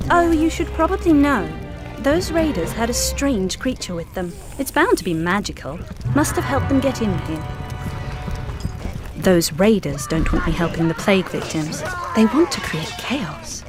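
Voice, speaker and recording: A haughty young mistress in a fantasy game, played by a woman, speaks over clear background music. She is brisk and sardonic, with animated swings of pitch and a commanding, arch air. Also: close to an everyday voice. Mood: disdainful, businesslike. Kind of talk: fantasy game character dialogue.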